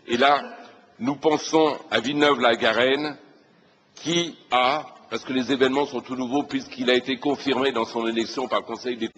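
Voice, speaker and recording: A man giving a formal, prepared speech as a councillor presenting a motion, in a husky voice. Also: medium pitch, fairly bright tone. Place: large reverberant meeting room. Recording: reverberant hall.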